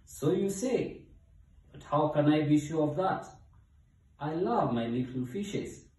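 Mighty storybook god, acted by a man: suspicious, protective, thundering and deliberate, heard in heavy room echo. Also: a little high, animated, mellow, voice pushed up. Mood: doubtful. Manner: thundering, pompous, emphatic. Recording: echoey room, distant from mic.

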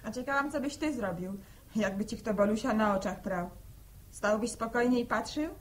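An actress playing a defiant, combative village wife in an old radio drama. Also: female voice, a little high, normal speed, close to an everyday voice. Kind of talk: 1960s radio drama acting.

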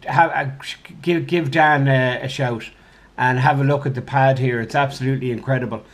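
A man, a guest in a casual chat, effusive and enthusiastic, talking in a flat's kitchen through one room mic. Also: medium pitch, normal speed, a little hiss or hum. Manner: effusive, enthusiastic, full of praise. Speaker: guest, poker player. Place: kitchen of a flat, indoors.

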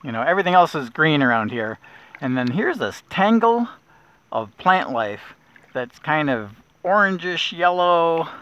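A middle-aged man guiding a kayak nature trip, explaining plants in a relaxed way with small restarts, recorded outdoors on a lake. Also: fairly high pitch, a little slow, clear, resonant tone. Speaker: naturalist guide. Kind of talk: outdoor nature walk narration. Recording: dull lo-fi outdoor audio.

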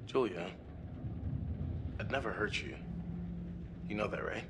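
An actor playing a level-headed young man, calm and earnest, in naturalistic horror game dialogue. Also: lowish voice, unhurried, background noise, lightly acted. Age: young adult.

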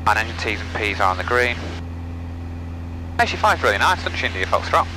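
Fast-talking low-voiced man in cockpit. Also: very animated, noisy background. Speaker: pilot. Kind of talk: cockpit talk and radio calls. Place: small propeller plane cockpit. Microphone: aviation headset boom mic. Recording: headset audio with engine drone.

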